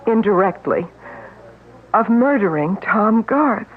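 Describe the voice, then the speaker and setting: An actress playing a frightened woman who confides her fears in a radio mystery drama, speaking tensely and quietly. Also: mid-range voice, expressive, takes it slowly. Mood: fearful. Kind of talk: 1970s radio drama acting. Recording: tinny old radio broadcast recording.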